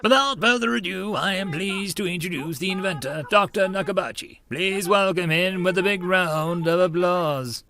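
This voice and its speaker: A man's voice: high-pitched, normal speed, hardly any pauses, pushed and bright, pitched above the performer's own voice. A man voicing a formal, upbeat press conference announcer with a projected delivery, in a visual novel reading.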